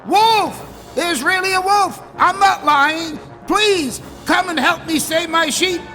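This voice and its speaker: A man's voice: very high-pitched, takes it slowly, bright, forceful tone, pitched above the performer's own voice, full character voice. A man voicing a mischievous, emotional shepherd boy in an exaggerated storybook style. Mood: panicked screaming. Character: mischievous shepherd boy, giggly, whiny, panicky, later remorseful.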